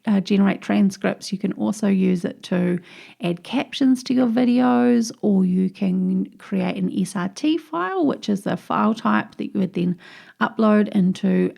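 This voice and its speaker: A woman's voice: mid-range voice, normal speed, creaky, mellow. A woman with a New Zealand accent hosting her own podcast, explaining tips in an upbeat, chatty way. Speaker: podcast host. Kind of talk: solo podcast tips episode.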